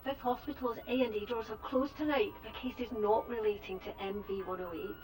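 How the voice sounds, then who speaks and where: A woman acting a composed TV news reporter in an audio drama, formal and measured. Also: a little high, a little mellow, faint music behind, close to an everyday voice. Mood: composed, serious.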